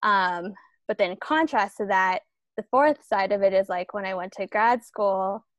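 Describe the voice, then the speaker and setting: A woman's voice: mid-range voice, moderate pace, no room sound, highs cut off. Reflective young woman, dry sound. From Los Angeles. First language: Tagalog. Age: young adult. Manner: reflective, storytelling. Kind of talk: casual conversation.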